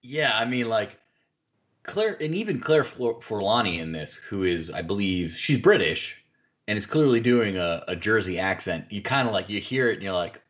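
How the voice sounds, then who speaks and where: A man's voice: on the low side, lively intonation, moderate pace, fairly bright tone, dull audio. A man hosting a podcast, recapping a film in casual, chatty, wordy banter. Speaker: podcast host.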